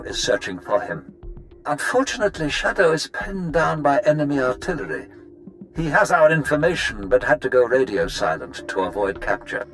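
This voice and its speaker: A courtly older Sith lord speaks in a slightly high voice with wide, swooping inflection. He is pompous, measured and scheming, in video game cutscene style, with faint music behind him. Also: fairly bright tone, rough recording.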